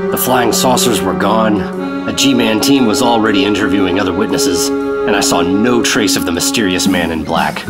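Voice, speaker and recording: A man's voice: fairly low pitch, close to an everyday voice. Man playing hard-boiled, weary G-man over music. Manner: clipped, world-weary storytelling. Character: hard-boiled weary male 1950s government special agent. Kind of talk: retro radio drama, noir narration. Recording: loud music bed under voice.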